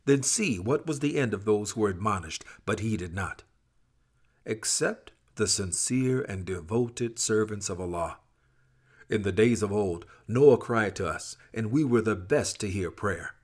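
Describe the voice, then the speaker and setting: A man giving a solemn, dramatic scripture reading in a measured, expressive, formal manner. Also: lowish voice. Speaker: scripture reader.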